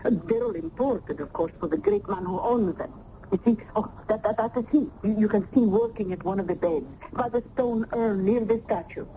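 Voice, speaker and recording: A woman's voice: mid-range voice, moderate pace, close to an everyday voice. An actress playing an effusive, motherly old Italian nurse turned landlady in a radio drama, with an Italian accent. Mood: confiding. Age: older. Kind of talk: 1970s radio drama acting. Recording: muffled, noisy old radio broadcast.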